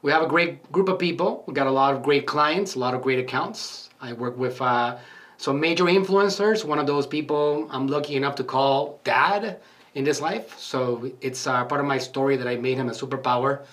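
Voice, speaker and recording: A man's voice: medium pitch, normal speed, a bit bright. Man, marketing agency owner, motivational podcast talk, earnest, emphatic, frequent fillers.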